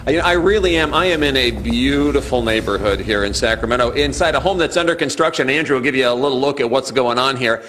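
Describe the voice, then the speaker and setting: A man's voice: mid-range voice, quick pace, few pauses, a bit bright, slight background noise. A man reporting live for local TV news from inside a house under construction, interviewing with chatty enthusiasm. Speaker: TV field reporter. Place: home under construction, indoors.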